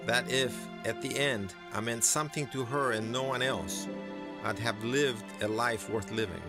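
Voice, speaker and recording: Man playing a gravelly, fatherly blacksmith over music. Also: mid-range voice, normal speed, natural voice. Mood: earnest, tender. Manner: gentle, earnest, fatherly. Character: kindly older male blacksmith, fatherly mentor. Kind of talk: dramatic animated series acting. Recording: voice over clear background music.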